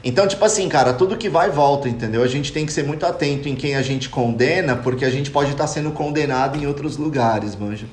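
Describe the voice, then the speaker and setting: A man's voice: mid-range voice, fast talker, few pauses, darkish tone. A young Brazilian man living abroad, talking earnestly and reflectively in a casual podcast chat. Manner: earnest, reflective, addresses listeners as "cara". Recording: echoey room with faint noise.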